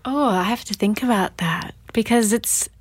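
A woman's voice: mid-range voice, normal speed, dry recording. A woman hosting a casual conversational interview, speaking in an informal, musing way. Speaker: podcast host, interviewer.

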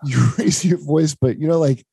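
A man's voice: a little high, normal speed, vocal fry, warm tone, slightly rough, dry recording. A man hosting a comedy podcast, chatting and joking casually with his guest.

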